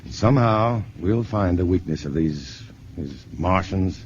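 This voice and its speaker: An actor playing a steady, reassuring male scientist on the run from invaders in a 1950s radio drama, on an old broadcast recording. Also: low-pitched, unhurried, lo-fi sound, slight background noise. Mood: earnest, reassuring.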